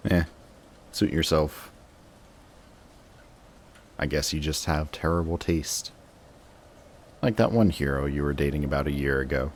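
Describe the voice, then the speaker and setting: Very deep-voiced sardonic supervillain played by a man. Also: steady tone, unhurried, pauses often, slight background noise. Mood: sarcastic, dismissive, then mocking, animated. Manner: sardonic, dry, taunting. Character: sarcastic, jaded male supervillain sparring with an old rival. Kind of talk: scripted roleplay audio drama monologue.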